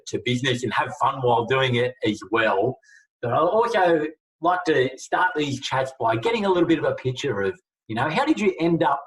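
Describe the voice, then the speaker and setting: A man's voice: medium pitch, a bit bright. A man hosting an interview series over a video call, talking in a friendly way with many fillers. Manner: friendly, filler-heavy talk. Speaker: host. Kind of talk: interview introduction and questions. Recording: echoey room, far from the mic.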